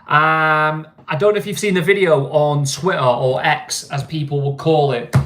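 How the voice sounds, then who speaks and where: A man's voice: fairly high pitch, fairly even intonation, moderate pace, a bit bright, reverberant. A man with a Mancunian accent, chatting casually on a stream and often asking "you know what I mean". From England. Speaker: vlogger. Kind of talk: casual live-stream chat.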